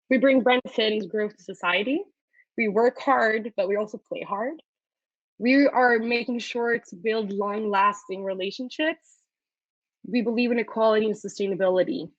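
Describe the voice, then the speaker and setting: A woman's voice: mid-range voice, dry recording, dull audio. A woman hosting a webinar, presenting a student startup hub in an upbeat way with frequent fillers, heard over a video call. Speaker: webinar host.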